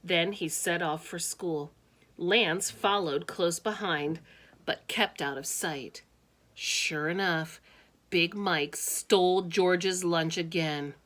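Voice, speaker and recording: A woman reading a children's storybook aloud with expressive, deliberate phrasing and casual asides. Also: on the low side.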